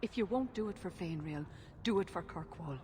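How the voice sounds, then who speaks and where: A worried elven mother, voiced by a woman speaks in a game cutscene, earnest and measured. Her voice sits a little low, with faint noise underneath. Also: lively intonation, warm tone, natural voice. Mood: pleading. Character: anxious elven mother pleading for her son.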